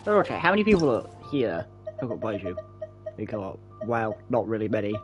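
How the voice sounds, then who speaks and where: A man's voice: lowish voice, sing-song, a little fast, faint music behind. A man giving casual gameplay commentary with short offhand reactions. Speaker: game streamer.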